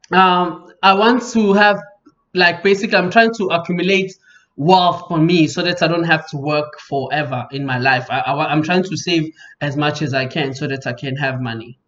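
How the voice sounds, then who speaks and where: A South African man in his thirties hosting a casual podcast chat, his voice sitting fairly high for a man. He talks with animated emphasis and repeats phrases for weight, and the audio sounds dull and lo-fi. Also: normal speed. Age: 30s. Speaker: podcast host.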